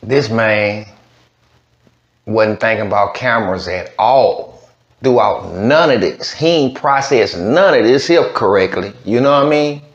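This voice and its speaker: Slow drawling man, lively pitch. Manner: drawling, incredulous, slangy. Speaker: reaction video host. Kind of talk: casual reaction commentary. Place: virtual news studio set. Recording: lo-fi, roomy sound.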